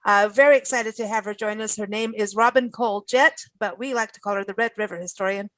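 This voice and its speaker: A woman's voice: medium pitch, quick pace, a bit bright, no room sound. A woman hosting a radio talk show, chatting casually and enthusiastically with guests. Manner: bubbly, laughing, enthusiastic. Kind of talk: casual chat interview.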